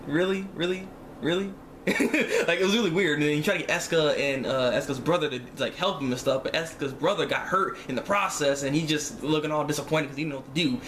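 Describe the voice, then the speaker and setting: A man's voice: high-pitched, a bit bright, slight background noise. A man giving a casual, slangy episode review to viewers, often repeating himself and acting out his reactions. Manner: repetitive, slangy, acts out remarks in reaction. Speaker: reviewer of an animated series episode. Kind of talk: casual spoken review, informal chat to viewers.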